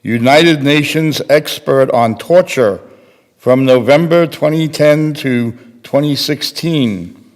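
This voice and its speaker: A man's voice: fairly high pitch, slow pace. An older man giving a formal scripted reading as part of a readers theater. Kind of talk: formal scripted reading of another person's statement. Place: synagogue sanctuary, handheld microphone at podium. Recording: reverberant hall, handheld microphone.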